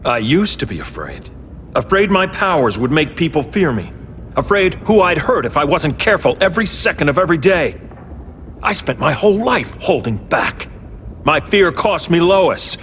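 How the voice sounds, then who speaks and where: An actor playing a grim, all-powerful hero turned tyrant, in video game cutscenes, speaking in an intense, commanding, bitter way. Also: male voice, on the high side, sing-song, normal speed, slight background noise. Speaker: game character. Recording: game cutscene with loud effects behind.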